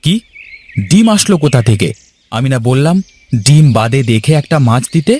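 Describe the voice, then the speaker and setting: A man's voice: medium pitch, animated, moderate pace, darkish tone, natural voice. A man voicing a hot-headed, resentful younger brother from a village in a cartoon. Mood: irritated. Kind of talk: Bengali cartoon dialogue.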